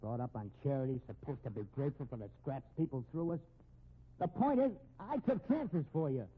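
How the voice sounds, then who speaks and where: An actor playing a desperate fugitive brother in a 1950s radio drama, with hard-boiled slangy delivery on a muffled old recording. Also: male voice, medium pitch, lively intonation, a little fast, slightly rough. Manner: slangy, hard-boiled tough-guy talk. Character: desperate, cornered fugitive brother, tough small-time crook.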